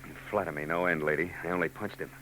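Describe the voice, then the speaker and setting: An actor playing a hardboiled private eye in a 1940s radio drama, dry and sardonic with quick wisecracks. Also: male voice, sits low, fairly even intonation, bright, forceful tone, a little hiss or hum, rough recording. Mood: wry. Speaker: narrator and lead.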